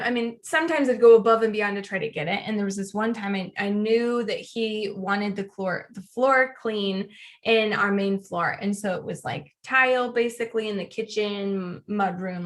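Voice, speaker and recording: A woman hosting a podcast, telling personal stories in a casual, animated way with emphatic phrasing. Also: medium pitch, moderate pace. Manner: animated, acts out quoted lines, emphatic repetition. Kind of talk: casual conversational storytelling.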